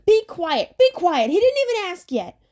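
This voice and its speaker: A woman's voice: extremely high pitch, sing-song, normal speed, a little mellow, voice pushed up. A woman voicing squabbling old hag sisters, screeching and wailing as she reads a storybook. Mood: furious screaming.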